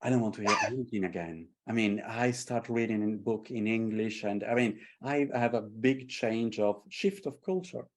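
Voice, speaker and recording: A man's voice: fairly low pitch, moderate pace, few pauses, a little hiss or hum. Passionate Italian-accented man. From Italy. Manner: passionate, animated storytelling. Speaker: artist and tarot creator. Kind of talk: casual conversation. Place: London.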